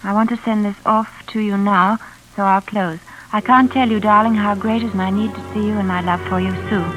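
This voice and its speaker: A woman's voice: mid-range voice, fairly even intonation, moderate pace, over music, close to an everyday voice, low-quality recording. Actress as anxious young woman, old radio drama. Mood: pleading. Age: young adult. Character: anxious young live-in companion, polite and frightened. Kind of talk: old-time radio drama acting and narration. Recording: vintage radio drama recording.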